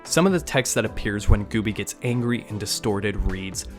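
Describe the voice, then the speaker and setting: A man's voice: fairly low pitch, expressive, moderate pace. Man narrating a video breakdown over music. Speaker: YouTube host and narrator. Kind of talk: scripted video breakdown narration with casual reactions.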